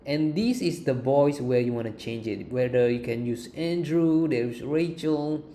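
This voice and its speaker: A man presenting an informal software walkthrough as a tutorial host. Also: mid-range voice, measured pace. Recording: faint background noise.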